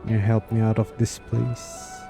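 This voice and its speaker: A man's voice: lowish voice, monotone, unhurried, gravelly. A man streaming a game, giving casual, blunt, sweary reactions as he plays. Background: game music.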